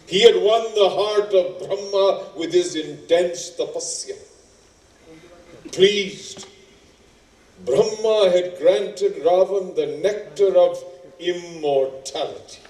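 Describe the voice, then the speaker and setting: Distant echoey sound, man acting solemn epic narrator, unhurried, measured, dramatic stage delivery. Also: sits high, darkish tone. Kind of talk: theatrical storytelling of an epic. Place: indoor theatre stage, distant microphone. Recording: echoey stage recording from far off.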